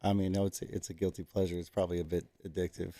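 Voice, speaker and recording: A man's voice: low-pitched, level tone, racing, mellow, dry recording, clean studio sound. An easygoing man co-hosting a show in casual chat, who laughs often and explains things. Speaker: podcast co-host.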